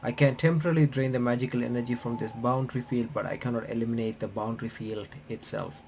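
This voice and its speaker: A man doing a casual visual novel playthrough, reading the on-screen text aloud in a halting, stumbling way and reacting as he goes. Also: medium pitch, steady tone, gravelly, a little mellow, slight background noise. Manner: halting, stumbling reader who rereads phrases.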